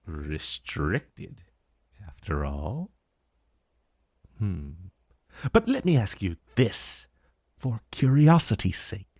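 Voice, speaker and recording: A man's voice: on the low side, darkish tone, no room sound, clean studio sound, heavily acted. A man voicing an ancient dragon in a fantasy roleplay monologue, urbane and amused, savoring his words with veiled menace. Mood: probing, amused. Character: ancient male dragon, urbane, intrigued, vaguely menacing familiar.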